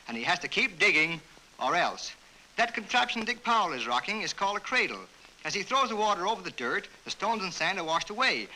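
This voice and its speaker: A man's voice: high-pitched, sharp tone, poor audio. An American man narrating a 1930s newsreel from a script in a jaunty, wisecracking manner, on a vintage soundtrack.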